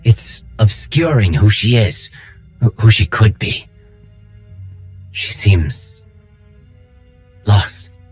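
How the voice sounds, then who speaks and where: A kind, idealistic young rebel man in a game cutscene. He is friendly and earnest, with a lively, wide-ranging delivery and a slightly husky edge. Music sits faintly behind him. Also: on the low side, drawn-out words, pauses often, heavily acted. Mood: concerned, reflective. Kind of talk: video game cutscene acting.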